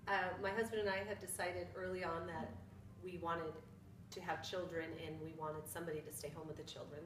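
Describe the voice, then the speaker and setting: A woman's voice: fairly low pitch, level tone, fairly quick, fairly bright tone. A fifty-year-old woman from Indiana, answering interview questions in a plain, matter-of-fact way. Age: 50s. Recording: echoey room from a distance with noise.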